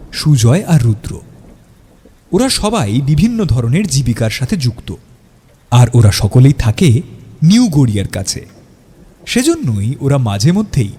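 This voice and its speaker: A man's voice: mid-range voice, mellow, husky. A man narrating a scripted audio story in a dramatic storytelling style.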